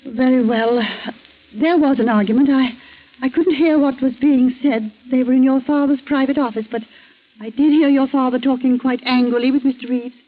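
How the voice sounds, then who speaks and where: Actress as high-voiced, nervous office secretary. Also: female voice, steady tone, normal speed, lightly acted. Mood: hesitant, nervous. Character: nervous, reluctant office secretary confiding cautiously. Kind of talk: 1940s radio drama acting. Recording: thin, phone-like 1940s radio recording.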